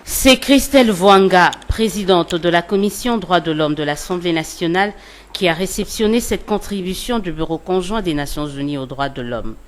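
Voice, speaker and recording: Low-voiced woman reading news. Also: normal speed. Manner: steady formal reading. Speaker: news reporter. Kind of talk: scripted news report.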